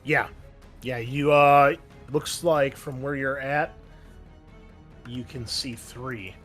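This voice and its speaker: A man running a tabletop game as game master, in a casual, relaxed way with frequent fillers. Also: medium pitch, takes it slowly, soft background music.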